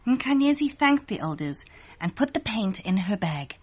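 A woman narrating a scripted children's story in an expressive read-aloud manner. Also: medium pitch, moderate pace, husky.

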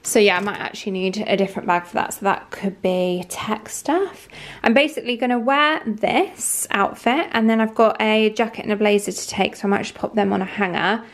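A woman's voice: medium pitch, brisk, roomy sound. A woman in her thirties chatting casually to camera as a vlogger, upbeat and filling her speech with "like" and "um". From England. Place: bedroom at home, then inside a car. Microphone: camera held at arm's length or set nearby.

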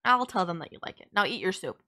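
A woman's voice: fairly low pitch, lively intonation, quick pace, sharp tone. A woman playing a sardonic yet caring female villain in an audio roleplay, blunt, teasing and exasperated. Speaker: voice actor. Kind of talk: audio roleplay drama, intimate one-sided dialogue.

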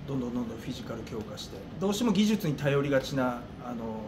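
A middle-aged man giving interview answers as a gym coach, thinking aloud with hesitant pauses. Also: medium pitch, big pitch swings. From Japan. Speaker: gym owner and martial arts coach. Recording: clearly noisy room ambience.

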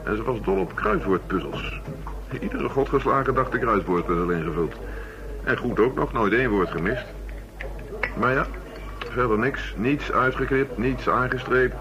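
A man's voice: mid-range voice, moderate pace, natural voice. An actor playing a thoughtful, matter-of-fact male police chief inspector in a radio drama. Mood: musing. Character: male police chief inspector methodically reviewing murder cases. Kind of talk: radio drama acting, naturalistic dialogue. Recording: dull lo-fi radio recording, crowd murmur.

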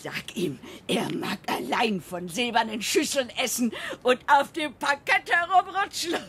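Radio play: imperious greedy sow-queen, slow and gravelly, faint rumble behind. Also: expressive, fairly bright tone. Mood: defiant. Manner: imperious, stubborn, exclamatory. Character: haughty queen turning into a greedy wild sow.

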